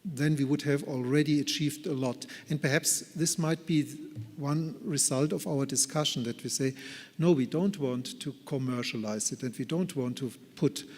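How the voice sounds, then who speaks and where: A man answering questions as a speaker at a conference, in an earnest, deliberate and carefully hedged way. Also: mid-range voice, a little mellow. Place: large conference hall. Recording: reverberant conference hall.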